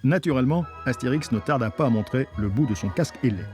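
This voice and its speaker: A man's voice: medium pitch, animated, fast talker, darkish tone, faint music behind. A man narrating a documentary from a script.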